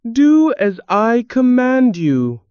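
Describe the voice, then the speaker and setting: A man's voice: extremely high pitch, big pitch swings, takes it slowly, clean tone, dry recording, dull audio, pitched above the performer's own voice, full character voice. A man doing a sorrowful prince statue.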